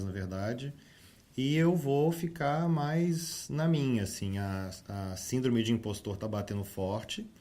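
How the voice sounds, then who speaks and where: Low-voiced man hosting a podcast. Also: a little mellow. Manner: friendly, hesitant, many fillers. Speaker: podcast host. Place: office.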